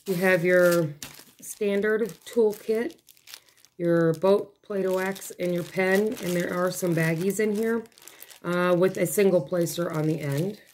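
A woman with a hoarse, failing voice chatting casually at her dining table as she unboxes craft kits, rambling and breaking off mid-thought. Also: lowish voice, fairly even intonation, unhurried, darkish tone, slight background noise. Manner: rambling, chatty, self-interrupting. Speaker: craft vlogger. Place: home dining room, seated at a table.

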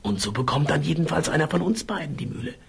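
Fairy tale miller's lad: scheming, raspy, creaky conspiratorial whisper. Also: male voice, fairly high pitch, very animated, fast, full character voice. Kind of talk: fairy tale audio play acting.

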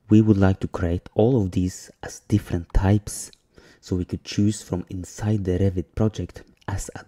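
Low-voiced guy walking thru a software tutorial step by step, slow and dark, clicks in the background. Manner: plain step-by-step narration with pauses. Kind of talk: screen-recorded software tutorial.

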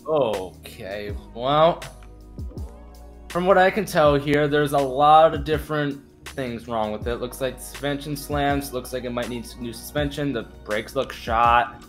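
Laid-back mechanic uncle acted by a man, mid-pitched, matter-of-fact game roleplay, faint music behind. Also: a little slow, close to an everyday voice. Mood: assessing.

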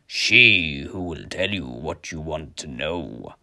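A man voicing a strange creature, half bird and half wolf, with slow, eerie pronouncements in a storybook tale. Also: rumbling low, lively intonation, sharp tone, voice pushed down, full character voice. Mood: ominous. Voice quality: eerie, many-voiced menace.

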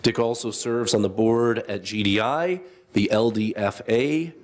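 A man moderating a business panel, reading out speaker introductions in a measured, formal way with small asides. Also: lowish voice.

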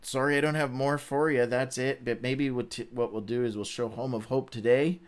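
A man's voice: mid-range voice, steady tone. A man in his forties hosting a casual livestream, chatting informally and often stopping to correct himself. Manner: halting, informal, self-correcting. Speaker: host of a Bible read-along. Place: small indoor room, seated before a webcam.

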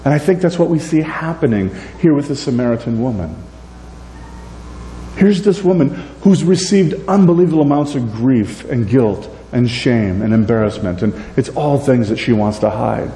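A man in his forties preaching a sermon in a church, animated and gesturing, moving between loud and soft. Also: medium pitch, normal speed. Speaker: pastor. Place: church sanctuary, indoors. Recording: echoey church room, faint hum.

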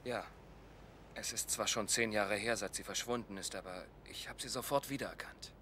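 A man playing a grieving, dutiful young knight in an audio drama, speaking in an earnest, measured, formal way. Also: fairly low pitch, bright, forceful tone, a little hiss or hum. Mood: earnest, concerned. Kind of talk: German audio drama acting. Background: music.

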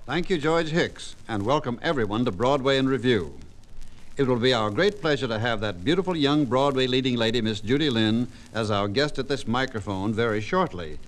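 A man's voice: medium pitch, animated, moderate pace, rough recording. An American man hosting a scripted 1950s radio revue as a courteous, showman-like master of ceremonies. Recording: 1950s transcription disc radio broadcast.

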